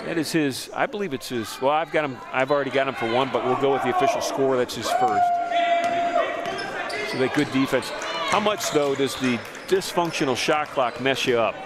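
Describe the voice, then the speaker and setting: A man doing play-by-play for a live college basketball game, lively and joking as he calls the action. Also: medium pitch. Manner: lively, joking, calls plays in quick bursts. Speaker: play-by-play announcer. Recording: loud crowd and gym noise behind.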